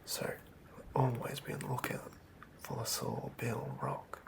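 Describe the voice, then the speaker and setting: A seasoned tavern bard and former adventurer, acted out by an ASMR performer, whispers ominous tales. The delivery is very slow and theatrical, breathy and full of creak, in the manner of fantasy roleplay. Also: medium pitch, flat delivery. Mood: ominous awe. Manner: slow, theatrical, old-fashioned tale-telling.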